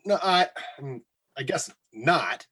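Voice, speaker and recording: Casting: male actor for a sarcastic, sheepish radio co-worker, husky, slow hesitant delivery, comedy radio drama. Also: a little high, expressive, sharp tone, exaggerated voice. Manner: halting, hesitant, stammering.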